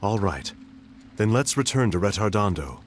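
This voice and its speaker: A man's voice: fairly low pitch, very animated, moderate pace, a little hiss or hum. An actor playing a cool, probing young nobleman in a game cutscene, speaking in a formal, precise, questioning way. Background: game soundtrack music.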